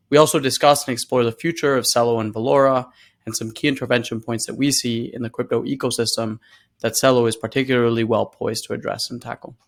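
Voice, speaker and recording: A man hosting an interview podcast, introducing his guest and asking relaxed questions with filler words. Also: mid-range voice. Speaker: podcast host.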